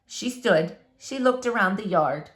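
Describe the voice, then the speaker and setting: A woman narrating a children's storybook aloud with expressive, dramatic, deliberate phrasing. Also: medium pitch. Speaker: storybook reader.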